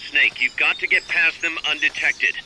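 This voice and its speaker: A brisk military commander. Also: male voice, on the high side, sharp tone. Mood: instructive. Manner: brisk, instructive and encouraging. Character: confident male military commander on radio. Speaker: mission support on radio. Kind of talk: video game cutscene and tutorial dialogue. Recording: game audio with noisy background.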